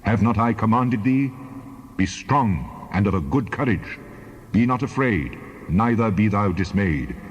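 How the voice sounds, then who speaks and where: An actor voicing God as a commanding, reassuring presence, solemn and measured in a dramatized scripture reading. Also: male voice, fairly low pitch, lively intonation, muffled recording, slight background noise. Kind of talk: dramatized audio Bible. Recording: long reverberant hall.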